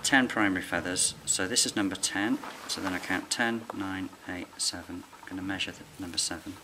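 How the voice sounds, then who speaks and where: A middle-aged man, a licensed bird ringer, calmly explaining his fieldwork while handling birds in a farm barn. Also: low-pitched, fairly quick. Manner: calm, quiet, hands-on explaining with fillers. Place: open farm barn on straw, outdoors air. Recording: noisy outdoor camera recording.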